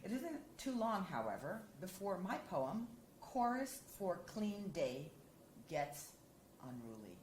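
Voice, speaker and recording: A woman editor reading poetry aloud at a symposium, measured and deliberate. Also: lowish voice, very animated, a little mellow. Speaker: anthology editor and reader. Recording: roomy hall with faint hiss.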